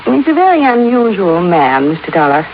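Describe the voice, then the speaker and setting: A woman's voice: lowish voice, sing-song, normal speed. An actress playing a composed, well-to-do wife in her early thirties in a 1950s radio drama, speaking politely and with poise. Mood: composed concern. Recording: muffled old radio recording, faint sizzling noise.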